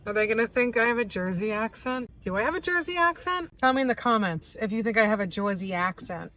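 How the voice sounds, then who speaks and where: A woman's voice: fairly high pitch, big pitch swings, fast talker, fairly bright tone. A young woman from the US East Coast, narrating a casual travel vlog in a chatty, upbeat way with lots of "like". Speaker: vlogger. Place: inside a car on a road trip.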